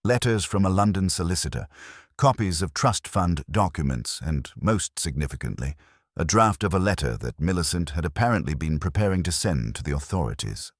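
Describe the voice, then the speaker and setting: A man with an extremely low voice narrates a detective story in a formal, measured way. His intonation is lively and varied. The sound is dry, with no room on it. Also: slightly rough, no echo. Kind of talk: scripted narration, audiobook mystery.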